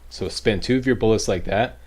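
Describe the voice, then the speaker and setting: A man's voice: lowish voice, fairly quick, a little mellow, a little hiss or hum. A Canadian man giving informal, instructional commentary over a video game walkthrough. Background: game sound.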